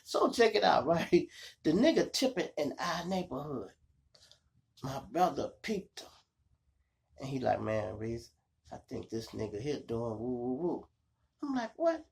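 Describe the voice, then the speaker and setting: A man with a Chicago accent and a gravelly voice, hosting a show and telling street stories in slangy, animated style, acting out the dialogue. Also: mid-range voice, measured pace, pauses often. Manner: animated, slangy, addressing listeners as y'all. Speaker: online show host and storyteller. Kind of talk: casual street storytelling with acted-out dialogue.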